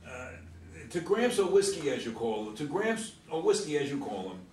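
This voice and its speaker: A man playing a folksy, excitable young painter in a staged script reading, with a hesitant, stammering delivery. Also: on the high side, moderate pace. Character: excitable, folksy young male painter, fond of his grandfather. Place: meeting room, seated at a long table. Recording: roomy, distant camera mic, faint noise.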